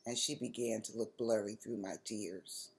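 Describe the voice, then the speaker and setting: A woman with an American accent reading a children's story aloud expressively, with deliberate, dramatic phrasing. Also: extremely low pitch, fairly even intonation, darkish tone.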